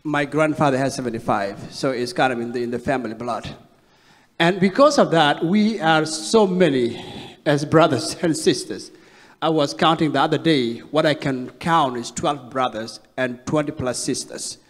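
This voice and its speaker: A man's voice: fairly high pitch, normal speed. Man from South Sudan telling his story in a reverberant hall. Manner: storytelling, acts out dialogue with raised voice. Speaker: speaker giving a testimony. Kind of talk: personal story told to a congregation. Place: large wood-panelled worship hall with audience.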